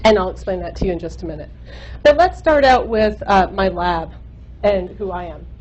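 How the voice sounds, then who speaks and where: A woman in her thirties with a naturally loud voice lectures to a room full of listeners. She talks casually, dotting her explanations with ums and uhs. The recording is slightly muffled, with a faint hum underneath. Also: mid-range voice, animated, moderate pace. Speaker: guest speaker, microbiologist. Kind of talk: university seminar lecture. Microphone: microphone at a lecture.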